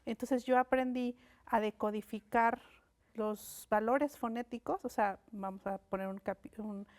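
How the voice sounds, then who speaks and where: A woman whose first language is Mixe, a linguist and writer from Mexico, speaking as a guest in a thoughtful, unhurried interview on a studio set, with frequent fillers and restarts. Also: a little high, fairly even intonation. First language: Mixe (Ayuujk).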